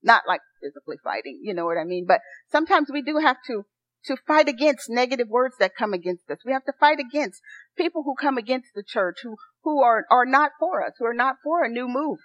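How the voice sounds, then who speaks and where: A soft-spoken woman pastor preaching a sermon, earnest and rising to excited encouragement. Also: on the high side, a little fast, no echo. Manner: earnest, building to excited exhortation. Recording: dry, dull lo-fi sound.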